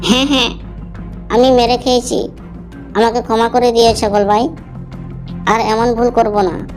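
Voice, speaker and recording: A woman's voice: fairly high pitch, level tone, a little slow, close to an everyday voice. A woman voicing a sly, greedy fox in a cartoon animal fable, coaxing and scheming, with music behind. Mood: pleading. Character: sly greedy fox who lures, then begs.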